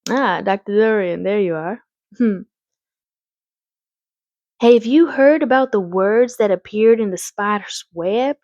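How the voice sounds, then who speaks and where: A woman's voice: mid-range voice, takes it slowly, long pauses, a little mellow, no room sound, dull audio. A woman voicing a fretful, proper mother in a storybook, with an anxious, earnest and rather vague manner. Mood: nervous, puzzled.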